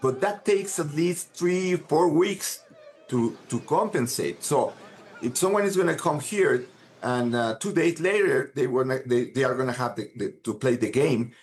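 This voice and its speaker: A man's voice: medium pitch, measured pace. A man, a sports science expert, explaining in an interview with frequent hesitations. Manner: hesitant, many fillers and restarts.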